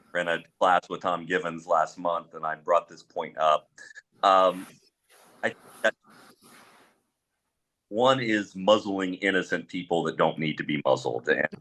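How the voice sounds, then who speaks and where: A middle-aged man speaking on a discussion panel as an experienced police trainer, in a measured way with pauses and firm opinions. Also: a bass voice, sharp tone. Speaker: panelist, former police officer.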